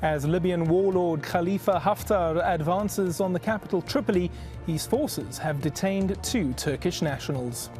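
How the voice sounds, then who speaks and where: A man presenting broadcast news as an anchor, in a formal, measured delivery. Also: high-pitched, darkish tone, soft background music.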